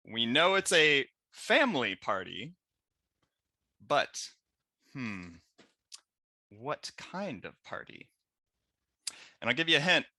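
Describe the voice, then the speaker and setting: A man in his thirties, a children's author putting a trivia question to kids, with pauses and thoughtful hums. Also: medium pitch, big pitch swings, sharp tone, dry recording. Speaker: children's book author and illustrator. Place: small room at home. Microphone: headset.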